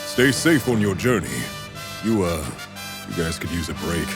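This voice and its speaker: A man's voice: deep voice, lively intonation, moderate pace, music in the background. A man voicing a friendly, over-dramatic creature in a cartoon parody, declaiming grandly. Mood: grand, earnest. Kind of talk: fan-dub parody cartoon acting.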